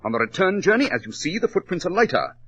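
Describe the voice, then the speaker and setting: An actor playing a brisk, superior detective in 1940s radio drama style, with a pompous, clipped, instructive manner. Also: male voice, sits high, very animated, fairly bright tone, breathy edge, heavily acted. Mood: superior, deductive. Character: brisk, superior, theatrical male detective, coolly analytical. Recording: dull, lo-fi 1940s radio recording.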